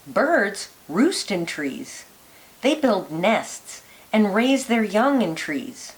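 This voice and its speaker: A woman reading a children's nonfiction picture book aloud like a teacher, with deliberate, lilting emphasis. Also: medium pitch.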